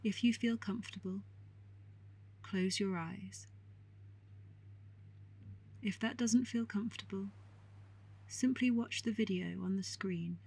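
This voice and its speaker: A woman guiding a meditation in a calm, soothing, measured way with long pauses and a soft, breathy voice. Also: medium pitch. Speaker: meditation guide. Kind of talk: guided meditation narration.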